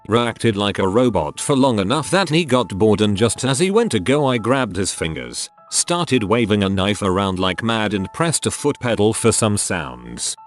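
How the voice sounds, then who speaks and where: A man reading online stories aloud in a steady, even scripted narration. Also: lowish voice.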